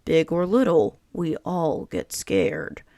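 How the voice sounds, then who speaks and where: A woman voicing a patient, wise male owl schoolteacher in a storybook, calm and measured. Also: deep voice, dry recording, exaggerated voice.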